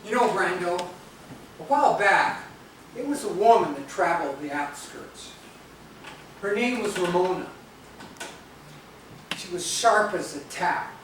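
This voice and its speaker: A folksy, measured mentor acted by a man on stage speaks like a storyteller in a high voice. The recording is echoing, distant and clearly noisy. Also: expressive. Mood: reflective. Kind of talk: stage play acting. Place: theatre stage, picked up from a distance. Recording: echoey, noisy stage videotape from far off.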